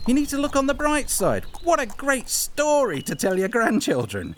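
Man acting a high-voiced, animated, sly trickster guide. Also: moderate pace, a little hiss or hum, exaggerated voice. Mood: gleefully smug. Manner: chatty, glib, theatrical. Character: cheerful, sly male trickster guide with conjuring magic. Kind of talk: comedic fantasy audio drama acting.

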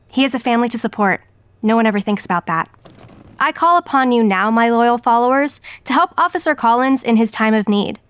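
A woman's voice: fairly high pitch, fairly bright tone, no room sound, lightly acted. A woman playing a righteous, combative online political commentator, pointed and insistent in an audio drama. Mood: indignant. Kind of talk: scripted audio drama acting as a video presenter.

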